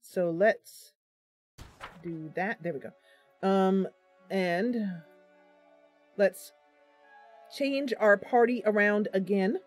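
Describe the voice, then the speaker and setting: A woman's voice: mid-range voice, drawn-out words, long pauses, faint music behind. A woman giving casual live commentary as she plays and narrates a roleplaying game. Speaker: game streamer.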